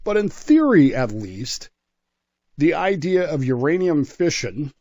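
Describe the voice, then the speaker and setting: A man speaking as a radio history commentator, telling stories conversationally with emphatic pauses and asides. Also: fairly high pitch, sing-song, dark tone, no echo, highs cut off. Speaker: regular history commentator on a radio talk show.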